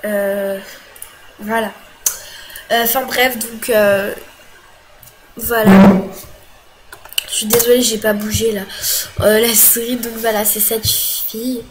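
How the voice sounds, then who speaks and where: A woman's voice: on the high side, level tone, slow pace, long pauses, background noise. A young woman venting to camera about an online dispute, agitated and full of fillers and restarts, with a cold. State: has a cold. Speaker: vlogger.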